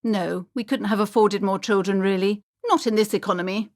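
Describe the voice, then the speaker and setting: A woman's voice: medium pitch, steady tone, fairly quick, lightly acted. An actress playing a fussy, superstitious middle-aged woman who runs a bookshop in a video game, prim and haughty, with dramatic emphasis. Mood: prim, defensive. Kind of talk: theatrical video game character acting.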